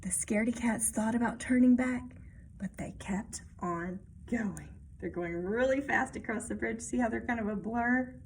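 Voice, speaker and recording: A woman reading a children's picture book aloud expressively, pausing to add explanations and check-in questions for young listeners. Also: a little high, slight background noise. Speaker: read-aloud storyteller.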